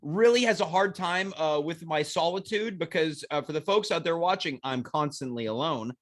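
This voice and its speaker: A male host with a noticeably high voice talks loosely and playfully, piling up self-mocking jokes. He sounds congested from a lingering cold. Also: moderate pace. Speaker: talk show host. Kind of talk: casual comedic chat.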